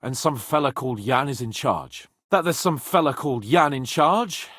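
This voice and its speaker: An actor playing a brash, haughty young medieval nobleman in a video game, sardonic and hot-tempered, with a slightly husky voice. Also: medium pitch, normal speed, dry recording, clean studio sound, lightly acted. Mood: matter-of-fact. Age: young adult. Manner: haughty, sarcastic, swearing, expressive.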